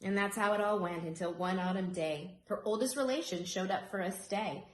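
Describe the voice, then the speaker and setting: A woman reading a rhyming children's story aloud in an expressive, dramatic storytelling manner. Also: mid-range voice, normal speed. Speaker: storybook reader. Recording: roomy echo, faint background noise.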